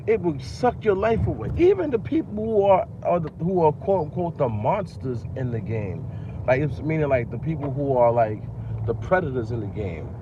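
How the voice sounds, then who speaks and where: A man's voice: a little high, expressive, normal speed. A man in his forties from Long Island, New York, vlogging from his car at night, talking in a loose, repetitive way full of slang and fillers, his voice groggy. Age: 40s. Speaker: rapper and vlogger. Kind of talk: casual talking-to-camera rant. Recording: dull lo-fi phone audio with car noise.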